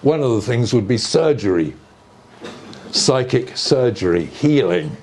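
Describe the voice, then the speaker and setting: An older man giving an informal explanatory talk in a discursive, conversational way, with hesitant pauses and small asides. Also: medium pitch, sing-song, a little mellow. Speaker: speaker on earth energies and ancient sites. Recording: live talk with clear background noise.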